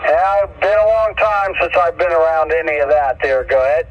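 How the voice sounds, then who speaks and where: A man's voice: a little high, fairly even intonation, a little fast, muffled recording. A man chatting casually as a CB radio operator in a folksy, colloquial manner. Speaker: radio operator and channel host.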